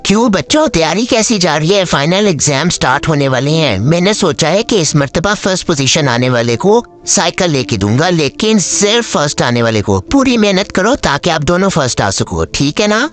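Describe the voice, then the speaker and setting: A man's voice: fairly high pitch, sing-song, moderate pace, few pauses, faint music behind. A man playing a concerned middle-aged father, strict yet indulgent, in a children's moral story. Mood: encouraging, firm.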